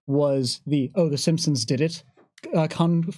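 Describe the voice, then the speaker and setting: A game designer speaking on a casual panel in a measured, articulate way with considered wording. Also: medium pitch, steady tone, warm tone, no echo.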